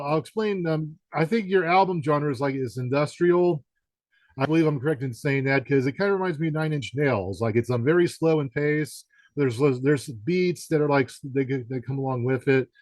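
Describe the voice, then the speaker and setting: A man's voice: on the high side, no echo. A man hosting a conversational music interview, offering guesses in rambling, hesitant phrasing. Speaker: podcast interviewer.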